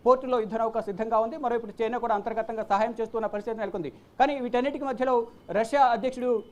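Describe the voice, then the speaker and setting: Woman news presenter, rapid delivery, mid pitch, repetitive hesitant phrasing, muffled lo-fi sound. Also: steady tone, darkish tone. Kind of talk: unscripted live news commentary.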